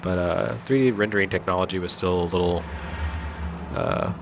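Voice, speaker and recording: A man with a fairly low voice chats casually as a game critic. His delivery is wry and joking, and there is clear rumbling noise behind him. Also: moderate pace, dull audio. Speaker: video game critic and commentator. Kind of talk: casual joking commentary over game cutscenes.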